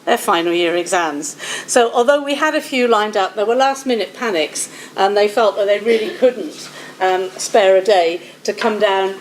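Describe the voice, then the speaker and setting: A woman who coordinates language outreach, giving an explanatory presentation to an audience with occasional "um" pauses. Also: medium pitch, slight background noise, low-quality recording.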